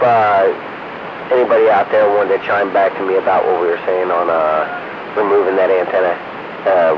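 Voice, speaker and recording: An American man chatting casually over two-way radio, rambling and often checking that he is being followed. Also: deep voice. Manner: rambling, peppered with "you know what I mean". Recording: muffled, noisy two-way radio transmission.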